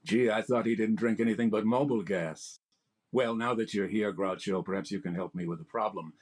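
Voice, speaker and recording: An actor playing a formal, smooth-talking male radio showman hosting a program. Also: on the low side, fairly quick, a little mellow, lightly acted. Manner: smooth formal announcer delivery. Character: grand, resonant male radio showman host. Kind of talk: old-time radio comedy recreation.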